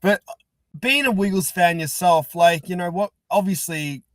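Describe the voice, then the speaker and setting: An Australian man hosting a podcast in casual interview chat, halting and repeating words as he goes. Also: high-pitched, a bit bright, no echo, studio quality. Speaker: podcast host.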